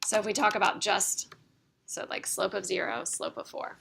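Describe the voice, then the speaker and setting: Creaky-voiced woman teaching calculus. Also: medium pitch, fairly even intonation, moderate pace, background noise. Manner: explains step by step, checking in with "right?". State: slightly losing her voice. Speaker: math teacher. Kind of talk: classroom lecture. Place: classroom.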